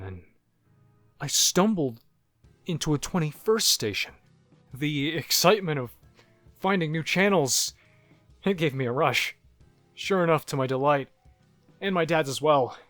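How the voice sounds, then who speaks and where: A man voicing a haunted narrator who looks back on disturbing childhood memories in a first-person horror story, halting and reflective, like a confession. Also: mid-range voice, no echo, lightly acted. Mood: nostalgic. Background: music.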